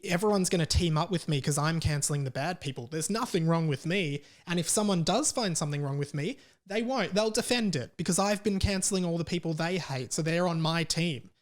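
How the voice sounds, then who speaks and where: Aussie guy doing a solo podcast, kinda high voice, rambles and swears, very casual. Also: brisk, few pauses. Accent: Australian. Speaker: podcast host.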